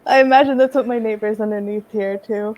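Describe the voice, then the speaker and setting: A soft-spoken woman with a quiet voice, chatting gently as a guest on a casual hangout. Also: on the high side, fairly even intonation, brisk, crowd noise, rough recording. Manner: gentle, laughs easily. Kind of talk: casual chat.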